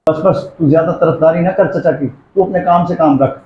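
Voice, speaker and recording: Guy acting a strict middle-aged dad, kinda high voice, dull roomy recording. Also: lightly acted. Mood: stern, annoyed. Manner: curt, scolding. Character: stern, stingy elder brother and father. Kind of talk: amateur moral drama acting in Urdu. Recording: roomy echo, dull sound, faint noise.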